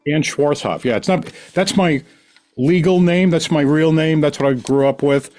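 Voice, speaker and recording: A man's voice: a little high, normal speed, darkish tone. A man, a podcast guest telling his story in rambling, self-interrupting casual talk. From New York City, the Bronx. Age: 60s. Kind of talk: casual conversation.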